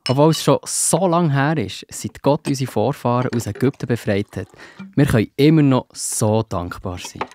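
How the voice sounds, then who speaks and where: A man's voice: mid-range voice, animated, moderate pace, a little mellow, natural voice. A man playing a loyal, hearty disciple in a children's Bible audio play, speaking emphatically. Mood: festive.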